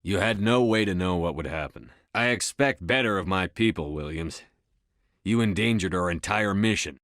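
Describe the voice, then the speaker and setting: A man's voice: on the low side, fairly bright tone, no echo, studio quality, close to an everyday voice. A man playing a resolute, authoritative starship commander in a video game, speaking in a terse, controlled, commanding way. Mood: stern, controlled. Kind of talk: video game dialogue acting.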